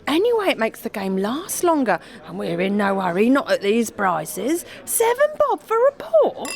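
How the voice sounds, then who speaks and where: A sweet, trusting old lady of the pub, acted by a woman. Her voice is very high and leaps about in animated swoops. She chats expressively, as in a radio comedy. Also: normal speed, creaky, exaggerated voice. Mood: fond, chatty. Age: older. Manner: chatty, expressive. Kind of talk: comedy radio play acting.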